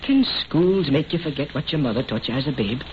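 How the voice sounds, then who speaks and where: An actor playing a jolly, credulous Irish drinking companion in an old-time radio drama, hearty and lilting. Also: male voice, medium pitch, lively intonation, a little fast, lightly acted. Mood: puzzled. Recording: muffled, noisy old radio recording.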